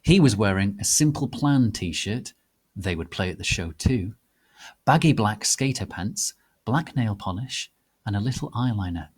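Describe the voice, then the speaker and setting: Husky low-voiced man reading a story. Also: expressive, unhurried. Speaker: reader. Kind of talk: dramatic reading of fan fiction.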